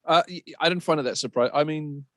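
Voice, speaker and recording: A man's voice: fairly high pitch, fast talker, pushed and bright, no echo, studio quality. A man chatting on a podcast, informal and hesitant, often correcting himself. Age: adult, middle-aged or younger. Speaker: podcast panellist. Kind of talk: casual podcast discussion.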